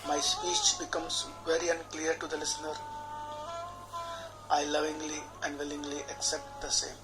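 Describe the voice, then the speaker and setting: A man, a healer guiding a tapping session in a slow, measured, soothing way, speaking repeated affirmations phrase by phrase. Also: on the high side, expressive, poor audio. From India. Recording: clear background music under the voice.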